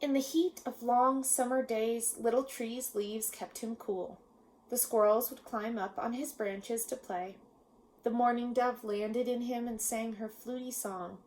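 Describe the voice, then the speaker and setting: Slow-paced woman reading a children's story. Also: fairly high pitch, low-quality recording. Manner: measured, expressive storytelling with pauses. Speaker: storybook reader. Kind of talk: children's read-aloud narration.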